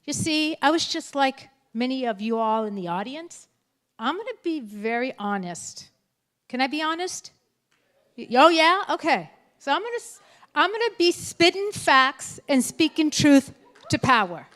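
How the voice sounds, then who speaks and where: A woman in her late fifties, Spanish her first language, speaks candidly from a school assembly stage. She talks straight to the crowd with frank, lively energy, in a voice a touch higher than most. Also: unhurried. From California, United States. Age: 50s. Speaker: keynote speaker. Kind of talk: candid motivational speech.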